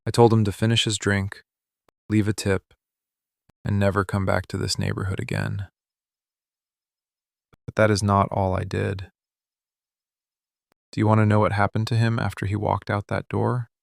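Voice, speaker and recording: Man playing a low, gravelly possessive mafia boss. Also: little pitch movement, no room sound, clean studio sound. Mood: cold, possessive. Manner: slow, deliberate, intense, hushed confiding delivery. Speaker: ASMR voice actor. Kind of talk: intimate boyfriend ASMR roleplay. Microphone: close studio microphone, dry.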